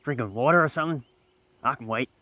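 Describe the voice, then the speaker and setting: A man's voice: mid-range voice, quick pace. A man playing a rambling Old West outlaw. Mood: teasing. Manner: rambling, deadpan storytelling. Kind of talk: roleplay in a western game.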